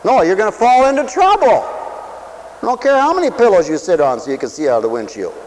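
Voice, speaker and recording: A man preaching a sermon for radio, who swings from loud, stretched-out lines to quiet asides and acts out other people's voices. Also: high voice, big pitch swings, fast talker, a little hiss or hum, poor audio. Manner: dramatic swings from shouting to near whisper, acts out voices.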